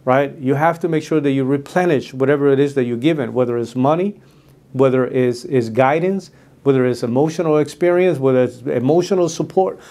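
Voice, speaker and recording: An earnest middle-aged man with a Spanish accent talks straight to camera at a desk in a studio room, his voice sitting at an ordinary middle pitch. He speaks as a host, thinking aloud with fillers and restarts. Spanish is his first language. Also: a little fast, warm tone. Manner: earnest, many fillers and restarts, gestures with hands. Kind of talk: casual talk to camera about a book.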